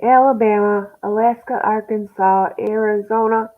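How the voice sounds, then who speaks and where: A woman's voice: mid-range voice, steady tone, moderate pace, phone-quality sound. A woman in casual chat who recites lists from memory in an easygoing way.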